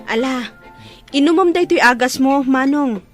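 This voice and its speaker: Radio drama little sister, high voice, naggy but cares about her brother. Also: a little slow, faint music behind. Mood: caring, anxious. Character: scolding younger sister of an injured man, caring but exasperated. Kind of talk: radio drama acting in Ilocano.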